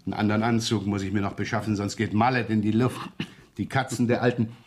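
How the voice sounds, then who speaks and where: Gruff, harried police inspector played by a man. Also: on the low side, flat delivery, natural voice. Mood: grumbling, irritated. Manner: grumbling, cursing, brisk orders. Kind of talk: 1970s German radio crime drama acting. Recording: noisy 1970s radio recording.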